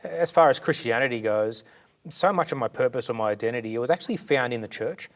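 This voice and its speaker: Earnest Australian man, mid-pitched voice. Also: fast. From born in India, raised in Sydney. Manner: earnest, fluent, with frequent restarts. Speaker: charity director, guest. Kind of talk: interview answers.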